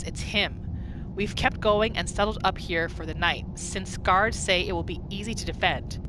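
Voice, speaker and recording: A woman giving casual game commentary on her roleplay playthrough, thinking aloud and exclaiming readily. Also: mid-range voice. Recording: clear noise behind the voice.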